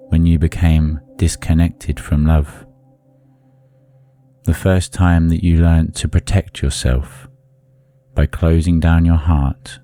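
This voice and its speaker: A man leading a guided meditation in a soothing, deliberate manner, leaving long pauses between phrases. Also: a bass voice, warm tone.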